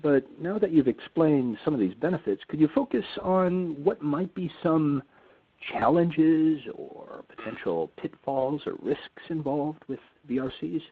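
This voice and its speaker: A man's voice: on the high side, animated, unhurried, husky. A man hosting a webinar, asking questions and introducing guests in a courteous, formal way. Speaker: webinar host. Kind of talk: moderating a webinar. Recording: tinny phone-line audio.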